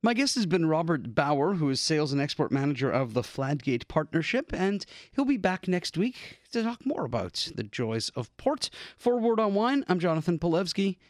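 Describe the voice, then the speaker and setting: A man hosting a radio wine program in a studio, with a polished, measured presenter delivery. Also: a little high, sing-song. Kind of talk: scripted radio hosting and interviewing. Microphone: studio microphone.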